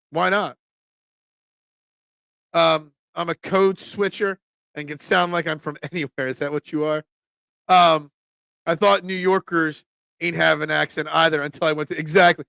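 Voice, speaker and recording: A man's voice: a little high, gravelly, fairly bright tone, no room sound. A young man with a Philadelphia accent hosting a casual sports talk stream, chatting loosely and answering viewers by name. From Philadelphia area. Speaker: sports talk show host.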